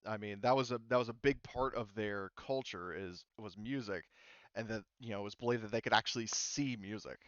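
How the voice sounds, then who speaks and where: A man's voice: lowish voice, brisk, a bit bright, no echo, lightly acted. Man playing Dev, an earnest slangy courier woman, dull mic. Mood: earnest explaining. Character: Dev, casual female hired courier explaining ancient alien lore.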